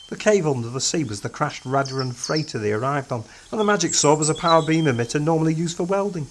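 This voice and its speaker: A man's voice: medium pitch, slight background noise, voice pushed down, lightly acted. A reader voicing a blunt, dry-humoured male time-traveller who talks in a casual, clipped way.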